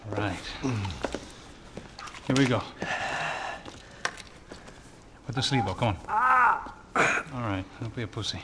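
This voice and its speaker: A man's voice: on the low side, normal speed, heavy background noise, lightly acted. An actor playing a cocky, wisecracking male bodyguard in a video game cutscene, teasing and casual like a brother. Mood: casual, brisk.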